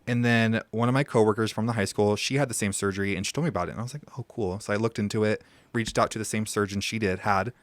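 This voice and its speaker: A man's voice: fairly low pitch, fairly even intonation, quick pace, hardly any pauses, no room sound. A man in his twenties, a podcast guest, talking casually and joking and laughing his way through serious stories. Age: 20s. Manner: joking, laughs while telling hard stories. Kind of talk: casual conversation.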